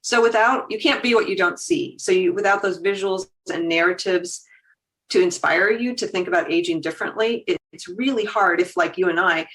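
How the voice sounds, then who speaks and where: A woman's voice: lowish voice, normal speed, fairly bright tone, poor audio. A woman in her fifties, a podcast guest talking casually and animatedly, laughing easily and voicing her own thoughts as quotes. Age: 50s. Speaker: guest, agency founder. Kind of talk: casual conversation.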